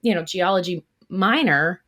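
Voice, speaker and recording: A woman from the US East Coast, a guest in a podcast interview, telling her story in a lively, expressive way. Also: on the low side, a little slow. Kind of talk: casual interview talk.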